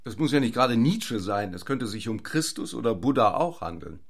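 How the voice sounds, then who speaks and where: A man's voice: mid-range voice, expressive, moderate pace. A man playing a sceptical, intellectual friend in a radio drama. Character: sceptical, intellectual male friend of a married woman.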